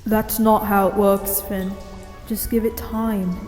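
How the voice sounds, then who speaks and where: A woman voicing a calm, patient, sisterly young mentor in a fan audio drama, gentle and measured. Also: medium pitch, close to an everyday voice. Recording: echoey hall-like reverb. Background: faint music.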